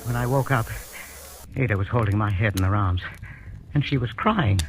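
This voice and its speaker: An actor playing a timid, henpecked husband in a 1940s radio drama, with a hesitant, flustered manner. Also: male voice, on the low side, normal speed, noisy background, natural voice. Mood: contented. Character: timid, henpecked husband, a nervous dreamer telling his tale. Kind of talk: 1940s radio acting, first-person narration and dialogue. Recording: old radio broadcast with music.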